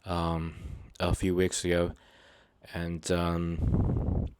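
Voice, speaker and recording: Very low-voiced man talking haltingly. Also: completely flat delivery, background noise. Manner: halting, hesitant, many fillers and restarts; sincere, earnest. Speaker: channel host. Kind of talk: unscripted personal talk to viewers.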